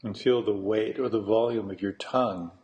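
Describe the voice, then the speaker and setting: A man guiding a meditation as a teacher, speaking slowly and deliberately, with long pauses between phrases. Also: lowish voice, fairly even intonation, darkish tone, highs cut off. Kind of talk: guided meditation instruction.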